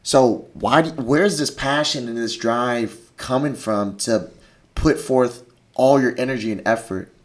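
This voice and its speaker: A man's voice: mid-range voice, measured pace. A man, not an English speaker, hosting a casual chat in slangy, profane speech. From Mississippi, United States. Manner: slangy, profane, says "bro" often. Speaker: podcast host.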